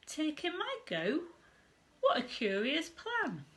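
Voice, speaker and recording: Woman voicing a fretful, high-pitched little old lady. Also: huge pitch swings, takes it slowly, a bit bright, full character voice. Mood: puzzled. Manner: plaintive, dramatic exclaiming. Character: grumbling, exasperated little old lady from a storybook. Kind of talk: children's storybook character reading.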